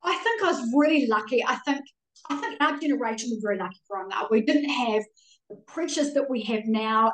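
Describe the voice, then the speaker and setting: A middle-aged woman with a New Zealand accent, chatting candidly and casually as a podcast guest in an effusive, colloquial way. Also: a little high. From Auckland, New Zealand. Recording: roomy echo, distant from mic.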